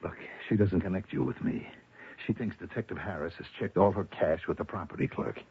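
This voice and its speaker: A man's voice: sits low, a little fast, breathy edge. An actor playing a slick, bossy small-time con man with clipped, streetwise talk in a radio drama. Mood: instructing. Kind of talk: 1970s radio drama acting. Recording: tinny, band-limited old radio recording.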